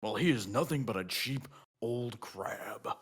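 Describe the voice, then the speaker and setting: A man's voice: medium pitch, lively intonation, measured pace, husky. A man voicing a drunk, rude, hot-tempered male cartoon sea sponge who rants in an aggressive, whiny way. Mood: outraged ranting.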